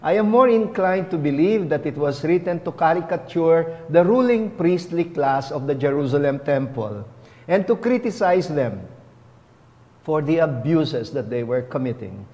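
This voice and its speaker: A middle-aged man, a bishop, giving a homily at a lectern in a chapel, speaking deliberately on a lapel mic. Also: fairly high pitch, lively intonation, darkish tone, long reverb tail, highs cut off. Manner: slow, deliberate, teaching tone with rising emphasis. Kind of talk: sermon.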